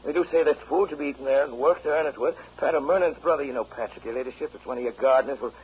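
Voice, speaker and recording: An actor playing an obsequious, hesitant and wordy Irish rent agent with an Irish accent in a 1930s radio drama. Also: male voice, mid-range voice, rapid-fire, natural voice. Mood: nervously enthusiastic. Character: deferential, rambling Irish rent agent, anxious before his betters. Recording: muffled, hissy 1930s radio broadcast.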